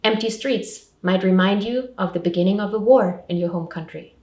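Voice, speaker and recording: A woman's voice: mid-range voice, moderate pace, a little mellow. A woman presenting a scripted public information talk, giving simple, step-by-step advice as a support counsellor. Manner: simple, clearly structured advice in short sentences. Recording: roomy echo, distant microphone.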